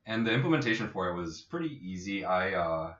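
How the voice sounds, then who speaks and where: A man co-hosting a casual tech podcast as an app developer, explaining things in an informal, jokey, halting way. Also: deep voice, very animated, a bit bright. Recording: echoey room, distant muffled mic.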